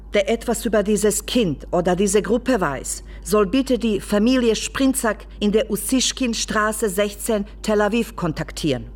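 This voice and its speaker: A woman reading a letter of appeal in a radio drama. Her voice is of medium pitch, earnest and measured. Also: a little mellow.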